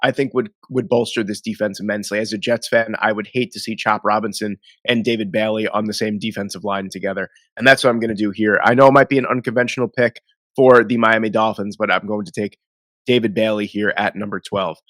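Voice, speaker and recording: A man's voice: lowish voice, little pitch movement, quick pace, fairly bright tone, no echo. A man, nasal and sniffly from a cold, rambling through casual football draft commentary as the host. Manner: rambling, self-correcting, conversational.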